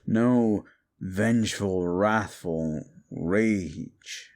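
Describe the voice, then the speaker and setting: A man voicing a bitter, ancient fallen demon who speaks scornfully to a listener in an audio drama, dropping to hushes and rising to shouts. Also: sits low, drawn-out words. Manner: contemptuous, swings from hushed to shouting.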